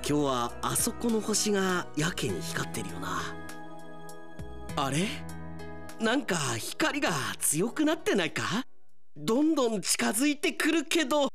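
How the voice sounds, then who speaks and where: A man acting an eccentric, brooding male observatory worker in a radio drama, with drawn-out, exaggerated delivery. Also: very high voice, sing-song, voice pushed up, full character voice. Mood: uneasy suspicion. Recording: loud music behind voice.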